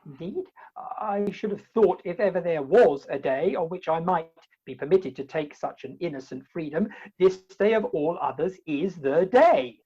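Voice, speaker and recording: A woman playing a bumbling, lovesick Victorian gentleman in a comic play, with an English accent and blustering, theatrical delivery, recorded at home over a video call. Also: on the low side, animated, moderate pace, few pauses, breathy edge, highs cut off. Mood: suave, put out. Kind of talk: comic stage-play acting.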